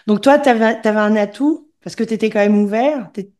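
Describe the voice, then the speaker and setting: Animatrice engagée à la voix chaude. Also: female voice, a little high, normal speed, darkish tone. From Gabon. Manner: engaged, encouraging, conversational. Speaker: podcast host. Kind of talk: conversational interview.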